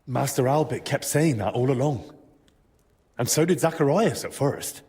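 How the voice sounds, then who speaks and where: A man playing an earnest, determined young man in a medieval video game drama, speaking in a measured, respectful way. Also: mid-range voice, a little mellow, lightly acted. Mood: grave, explaining.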